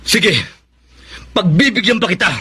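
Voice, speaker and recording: A man's voice: high-pitched, a little slow, pushed and bright, slightly rough, full character voice. A man with a Filipino accent playing a stern, angry husband in a radio drama, scolding and threatening. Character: angry, stern husband fed up with his addicted wife.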